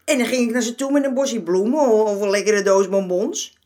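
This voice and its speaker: A woman's voice: on the high side, normal speed, a little mellow, close to an everyday voice. An actress playing a streetwise former madam in her seventies in a radio drama, folksy and dismissive in manner. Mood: nostalgic, fond. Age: 70s. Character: streetwise 74-year-old former madam, motherly but brazen.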